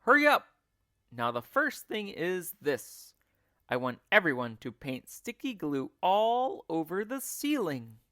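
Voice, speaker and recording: A man's voice: high-pitched, sing-song, takes it slowly, sharp tone, no room sound, clean studio sound, voice pushed up, heavily acted. A man voicing a frantic, bossy monkey father in a children's storybook, urgent and commanding. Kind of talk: children's storybook character reading.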